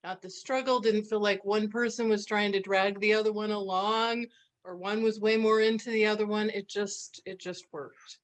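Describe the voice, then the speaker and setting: A middle-aged woman speaks as an interview host. Her delivery is chatty and conversational, at a middling pitch, and she repeats phrases to underline what she means. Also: fairly even intonation, normal speed.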